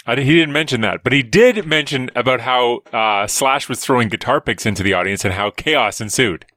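A man's voice: medium pitch, fairly quick, sharp tone, dry recording. A man, a scientist guest on a podcast, chatting casually with dry wit and rambling stories over a remote call. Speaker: guest, evolutionary biologist. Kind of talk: casual chat.

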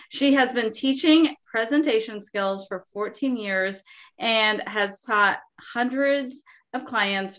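A woman's voice: medium pitch, fairly bright tone. A woman hosting a podcast, introducing and interviewing her guest with upbeat enthusiasm. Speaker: podcast host.

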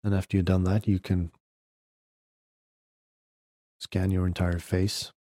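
A man leading a guided meditation, giving gentle step-by-step instructions in short phrases with long pauses between them. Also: sits low, flat delivery, no room sound, clean studio sound. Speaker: podcast host guiding a meditation.

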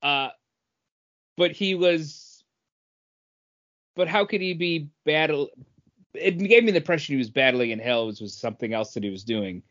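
A man's voice: on the high side, normal speed, pauses often, a bit bright. A man co-hosting a podcast, casually discussing comic books. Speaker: podcast co-host. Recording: dull, lo-fi podcast sound.

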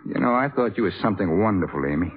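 Low-voiced earnest, halting American trumpeter. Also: male voice, lively intonation. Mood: hurt, indignant. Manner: halting, plain-spoken, boyish. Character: earnest, awkward, lovestruck male jazz trumpet player. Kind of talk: 1950s radio drama acting. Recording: tinny, narrow old radio broadcast.

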